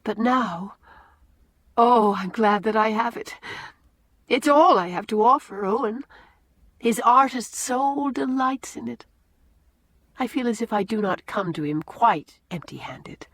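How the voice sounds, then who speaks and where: An earnest, wistful young woman in a storybook, confiding her feelings in a lively, fairly high voice, as a woman reads her. The sound is close and dry. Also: a little slow, long pauses, no echo.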